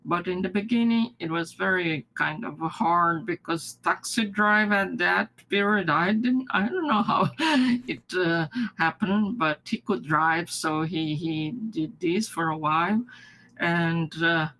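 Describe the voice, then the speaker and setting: Slow-spoken older woman, Japanese native. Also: fairly low pitch, low-quality recording. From Brazil. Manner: halting, restarts often, soft laughs. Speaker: interviewee, retired mathematics professor. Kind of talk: recorded life-story interview.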